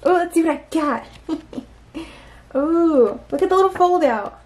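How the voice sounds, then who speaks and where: A woman's voice: very high-pitched, expressive, takes it slowly, crowd noise. A woman chatting casually through an unboxing, giggly and full of little exclamations as she talks to herself. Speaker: unboxing vlogger. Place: indoors at a tabletop.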